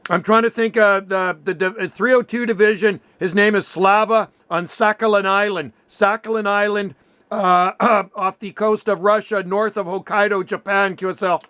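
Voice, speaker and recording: Middle-aged man with a high, clear voice. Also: fairly even intonation, moderate pace, fairly bright tone. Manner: uses radio jargon, friendly address. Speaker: amateur radio operator. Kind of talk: casual radio contact chat. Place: home radio room.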